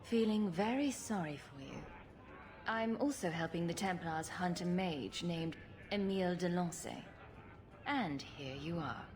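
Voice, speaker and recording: A woman's voice: fairly low pitch, animated, a little slow, a little mellow. An actress playing a sarcastic female rogue adventurer in a video game, dry and teasing. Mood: dry mockery.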